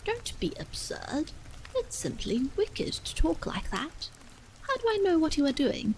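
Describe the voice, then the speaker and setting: Woman doing a wife figure, anxious, vexed, insistent, high voice, storybook reading. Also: darkish tone, slight background noise, rough recording.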